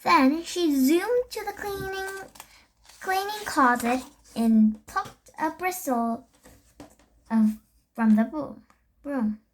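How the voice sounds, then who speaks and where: A young girl reading a children's story aloud, haltingly, with stumbles and self-corrections. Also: high-pitched, expressive. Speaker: storybook reader.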